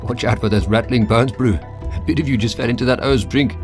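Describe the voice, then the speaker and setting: A blunt, impatient wanderer, as a man plays him snaps off short, dismissive lines in a mid-pitched, everyday voice. This is video game dialogue with faint music under it. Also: brisk, lightly acted. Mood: dry. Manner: curt, dismissive.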